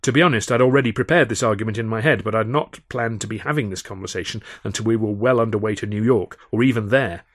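A man reading a first-person audiobook in a measured, expressive and wry storytelling manner, close on the microphone. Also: on the low side, dry recording. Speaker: author reading his own novel.

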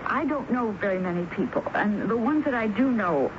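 An actress playing a meek, guarded typist in a 1970s radio drama, speaking haltingly and timidly repeating words. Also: female voice, mid-range voice, animated, close to an everyday voice. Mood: flustered, self-effacing. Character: meek, self-effacing female typist, guarded and nervous. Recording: muffled, noisy 1970s radio broadcast.

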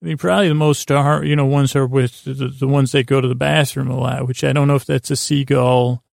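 Male podcast host, heavy vocal fry, dry recording, halting and meandering bedtime monologue that constantly interrupts itself. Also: mid-range voice, little pitch movement, darkish tone, no echo. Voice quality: creaky, gravelly. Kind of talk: rambling sleep podcast monologue.

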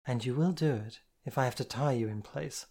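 A stern but tender woman, as voiced by the reader, giving calm, measured orders. Her firmness is gentle, steady and sure. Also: medium pitch, husky. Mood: firm, caring. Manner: firm, measured, gently commanding. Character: firm, caring dominant woman, calm and stern.

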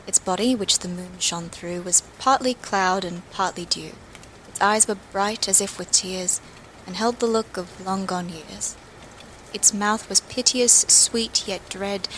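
Female poetry reader, measured and expressive, mid pitch, faint noise behind. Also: rough recording. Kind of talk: poetry recitation.

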